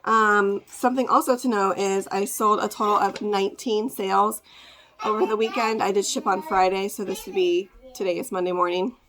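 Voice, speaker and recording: A woman with a congested, raspy voice, chatting casually as she packs orders to ship at home, rambling with lots of fillers. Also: medium pitch, steady tone, normal speed, fairly bright tone. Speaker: part-time online reseller. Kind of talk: casual ship-with-me vlog chat.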